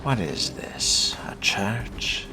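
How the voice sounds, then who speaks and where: A man's voice: fairly low pitch, very animated, music in the background, exaggerated voice. A man voicing a disdainful, weary fledgling vampire in a video game role-play, with dry, wordy, formal speech. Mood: dryly unimpressed. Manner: haughty, dry, wordy, measured. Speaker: role-playing game streamer voicing his character. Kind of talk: in-character game narration.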